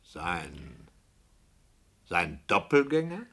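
A fussy, skeptical radio director. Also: male voice, low-pitched, sing-song, very slow, vocal fry, fairly bright tone, exaggerated voice. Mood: wary. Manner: prim, questioning, skeptical. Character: fussy, cautious male radio play director. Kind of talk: 1960s radio play acting.

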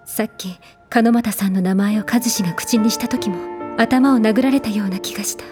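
An actress playing a sorrowful, caring older sister in an audio drama, speaking softly and reflectively with regret. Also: female voice, mid-range voice, moderate pace, music in the background, close to an everyday voice. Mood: regretful, worried. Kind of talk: audio drama monologue in dialogue.

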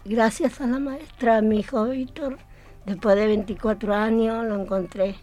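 A woman's voice: a little high, steady tone, a little slow, darkish tone. A middle-aged Argentine woman, an adult student, giving a personal account in a radio interview. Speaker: adult student taking part in a radio play.

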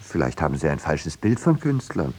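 An actor playing an urbane, dryly ironic painter in a radio play, polite, hesitant and deadpan. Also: male voice, very deep voice, moderate pace, slightly rough, exaggerated voice. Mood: dry, unruffled. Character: urbane, dryly ironic male painter telling his tale. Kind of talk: 1950s German radio play acting and narration.

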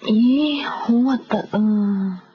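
A woman's voice: fairly high pitch, drawn-out words, slight background noise. An actress playing a girlish, candid, squeamish young woman in a radio drama, speaking Cantonese with a Hong Kong accent. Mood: squeamish, playful. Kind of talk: 1990s radio drama dialogue, conversational. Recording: muffled 1990s radio recording, music behind.

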